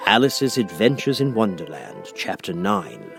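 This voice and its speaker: A man's voice: fairly low pitch, very animated, measured pace, gravelly, music in the background. A man narrating a children's classic as an audiobook reader, in scripted storybook style.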